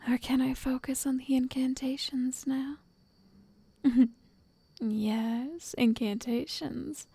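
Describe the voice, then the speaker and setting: A lovestruck yandere witch played by a woman murmurs softly to the listener in an ASMR roleplay. Her voice is fairly high yet mellow, with creaky edges, and she sounds tender, coaxing and quietly controlling. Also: steady tone, no echo, heavily acted. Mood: dreamy, playful. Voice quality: breathy, soft, often whispering. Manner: slow, tender, condescending endearments.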